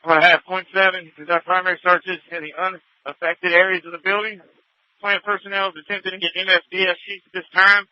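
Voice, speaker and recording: A man's voice: sits high, level tone, fairly quick, no room sound, muffled recording. A man reporting from an emergency scene as a fire officer over two-way radio, businesslike and urgent. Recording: band-limited emergency scanner radio.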